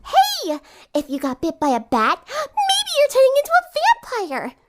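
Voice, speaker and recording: Squeaky, giddy teenage girl. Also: huge pitch swings, unhurried, very noisy, exaggerated voice. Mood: giddy teasing. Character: bubbly, excitable, silly teenage girl teasing.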